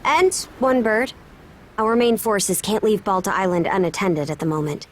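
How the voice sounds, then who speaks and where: An actress plays a stern young woman in command of a seafaring crew in a video game. Her pitch is average for a woman. She speaks bluntly and with composure, practical and firm. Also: moderate pace, lightly acted. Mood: stern, measured. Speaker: voice actor. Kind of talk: video game cutscene acting.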